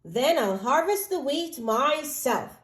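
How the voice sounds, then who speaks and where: A plucky little red hen from a picture book, played by a woman, speaks high and with animated swoops. She is cheerful and self-reliant, emphatic and set on getting the work done. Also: slow. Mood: resolute.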